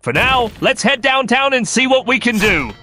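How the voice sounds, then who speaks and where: A man plays a commanding crocodile detective boss in a comic dub. His voice is high for a man, forceful and very animated, brash and gruff in temper, with music playing behind him. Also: moderate pace, exaggerated voice. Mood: businesslike. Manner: commanding, barks orders.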